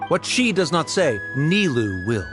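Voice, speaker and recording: A man's voice: a little high, big pitch swings, unhurried, over music. An actor voicing a proud middle-aged scholar father in a game, cool and condescending. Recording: game cutscene with faint score.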